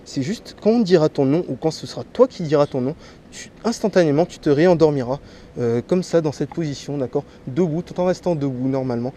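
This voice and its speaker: A man from Martinique with a slight head cold, working as a street hypnotist. He gives a volunteer slow, repeated commands that drop soft and low. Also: lively intonation. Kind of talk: stage hypnosis patter with a volunteer. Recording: noisy crowd around the mic. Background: chattering crowd.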